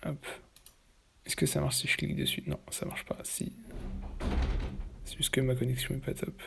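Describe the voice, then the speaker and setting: A man giving casual, blunt, opinionated commentary, talking haltingly with many fillers and restarts. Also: medium pitch, big pitch swings, creaky, a bit bright, a little hiss or hum.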